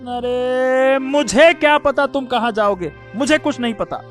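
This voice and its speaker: A man's voice: extremely high pitch, a little slow, soft background music. A man voicing a greedy, heartless, domineering elder brother in a cartoon story, with a scolding, dismissive manner. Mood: harsh scolding. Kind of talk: Hindi moral-story cartoon dubbing.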